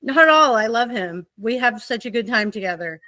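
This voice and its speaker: A woman's voice: a little high, animated, a little fast, sharp tone, no echo, low-quality recording. A woman in her forties from Oregon, a podcast guest telling her story candidly and at length. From Oregon, USA. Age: 40s. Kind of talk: candid personal conversation.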